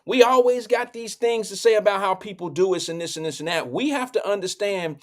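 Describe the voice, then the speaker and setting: A man commenting calmly, appealing to people to set an example. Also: sits high, brisk. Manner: calm, appealing, moralizing. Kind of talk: reflective commentary.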